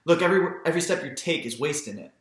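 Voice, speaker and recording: A man's voice: fairly high pitch, animated, quick pace, roomy sound, pitched above the performer's own voice, close to an everyday voice. A man voicing a confident, bossy young Southern boy in an audiobook, giving instructions and cautions. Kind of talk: audiobook character voice.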